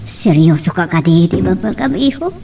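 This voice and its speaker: A woman's voice: on the low side, lively intonation, moderate pace, warm tone, recorded from a distance, a little hiss or hum. A woman playing a doting, skeptical middle-aged mother in a radio drama, with an Ilocano Filipino accent. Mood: surprised, doting.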